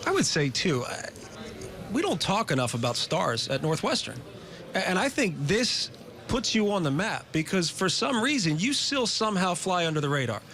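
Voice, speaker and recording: Male sports broadcaster, interviewing, wordy questions, slightly high voice. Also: very animated, normal speed, a bit bright. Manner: asks long, detailed questions. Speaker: sports TV host.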